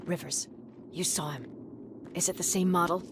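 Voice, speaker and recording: An actress playing an embittered, commanding military field commander in a video game, blunt and profane in manner. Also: female voice, on the low side, fairly even intonation, normal speed, background noise, natural voice. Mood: grim, controlled. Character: hard-edged, embittered female resistance field commander. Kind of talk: dramatic video game acting.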